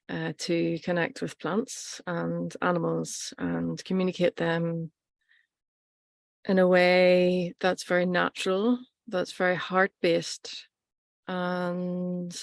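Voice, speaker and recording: A woman teaches in an informal, reflective manner, pausing between short phrases. Her voice sits on the low side and stays fairly even in melody, with a noticeable creak at the edges. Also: no room sound, studio quality. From Ireland. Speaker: workshop teacher and plant practitioner.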